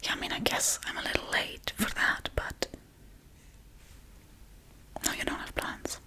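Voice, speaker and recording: A woman playing a forgetful, darkly playful witch in a soft-spoken, whispery ASMR role-play, murmuring gently and absent-mindedly. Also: measured pace, natural voice. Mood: apologetic, musing. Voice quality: breathy, whispery.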